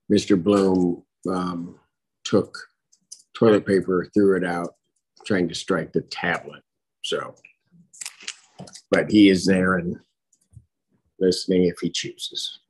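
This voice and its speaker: A middle-aged man speaking as a judge, formal and measured, with halting phrasing as he rules from the bench. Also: sits low, a little mellow, slightly rough, poor audio. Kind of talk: formal court proceedings, ruling from the bench. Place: courtroom bench.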